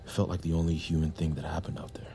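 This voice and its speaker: An actor playing an earnest, awkward young army veteran in an audio drama, halting and confessional as he talks about buried shame and desire. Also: extremely low pitch, level tone, mellow, slight background noise. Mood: urgent, vulnerable. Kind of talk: naturalistic audio drama acting.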